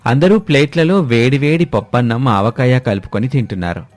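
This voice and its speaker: A man's voice: mid-range voice, expressive, fairly quick, dry recording. A man narrating a scripted moral story as its storyteller.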